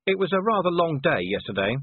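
A man's voice: on the high side, sing-song, quick pace, breathy edge, no echo, phone-quality sound, pitched above the performer's own voice. A man voicing a jumpy, grumbling city watch commander in an audiobook, blunt and exasperated. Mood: grumbling, reluctant.

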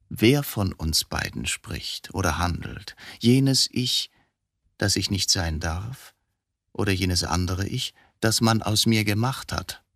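An actor in a radio drama playing a coolly provocative, philosophical man who has confessed to killing his wife, speaking deliberately in probing rhetorical questions. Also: fairly low pitch, vocal fry, a bit bright, no echo, studio quality, full character voice.

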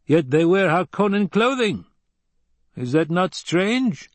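A man's voice: high voice, very animated, slow, no room sound, pitched above the performer's own voice, heavily acted. A man voicing a proud male desert warrior in an audiobook, blunt and matter-of-fact, eager about battle. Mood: gleeful, eager. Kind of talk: audiobook character dialogue.

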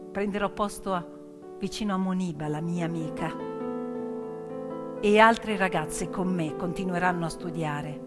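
A woman's voice: medium pitch, pitched below the performer's own voice, close to an everyday voice. A woman playing a determined, idealistic teenage schoolgirl activist in an earnest theatrical monologue. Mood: wistful, reflective. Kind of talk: theatrical first-person monologue. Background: piano music.

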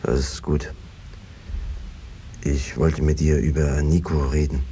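An actor playing an evasive, henpecked peasant brother who talks around things in a cajoling, hesitant way, in a 1950s radio drama style. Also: male voice, extremely low pitch, flat delivery, measured pace, dark tone. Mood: evasive, uneasy. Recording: noisy old 1950s radio recording.

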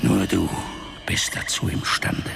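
An actor playing a grave, ancient warrior-mage father in a radio play, speaking solemnly and with measure in a breathy, gravelly voice. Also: slow, a bit bright. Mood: solemn, commanding. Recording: music under the voice.

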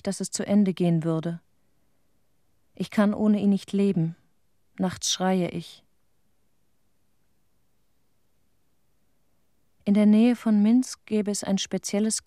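Actress as a grieving widow, close and dry. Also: female voice, medium pitch, dark tone, no echo, natural voice. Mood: tender, wistful, then sorrowful. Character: grieving widow recalling her husband's death, tender, haunted. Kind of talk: intimate radio play monologue.

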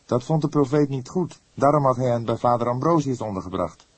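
A man acting out a young man in a detective audiobook, keyed up and anxious. The voice sits high and a little husky. He is earnest and insistent, pressing his report on the police with breathless urgency. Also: expressive, brisk, dry recording. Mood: earnest, calmer.

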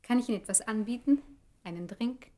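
An actress playing a courteous, efficient private secretary in a German radio play, speaking formally and attentively. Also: female voice, a little high, brisk, mellow, natural voice.

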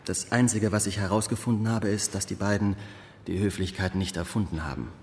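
An actor playing a formal, fussy archive clerk with a wry, measured manner in a radio drama. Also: male voice, lowish voice, a little mellow, close to an everyday voice. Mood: wry, courteous. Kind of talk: German radio drama acting. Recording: long hall reverb, faint room noise.

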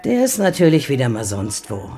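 A frail old grandmother in a radio drama, with a very low woman's voice. She is terse, dry and resigned, yet her pitch moves a great deal. Soft music plays behind her. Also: very animated, normal speed. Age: older. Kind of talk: German radio play acting.

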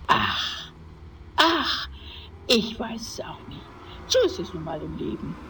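A woman's voice: lowish voice, wildly swooping pitch, takes it slowly, background noise, full character voice. A woman playing a lonely, chatty old lady who rambles plaintively and self-pityingly in a parody radio play. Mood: plaintive, rambling. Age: older.

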